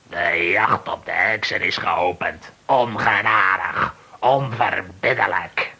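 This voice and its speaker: An actor with a raspy voice playing a ruthless, power-hungry villain who commands soldiers in a children's radio play. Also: male voice, deep voice, slow pace, full character voice. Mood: menacing, grim. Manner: forceful, menacing, commanding.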